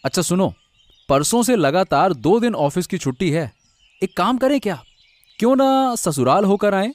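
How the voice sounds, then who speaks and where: A man's voice: high-pitched, big pitch swings, moderate pace, no room sound. A man playing a bossy but caring husband in an acted story, chatty, emphatic and affectionately nagging. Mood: affectionate, planning. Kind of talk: acted Hindi audio story dialogue.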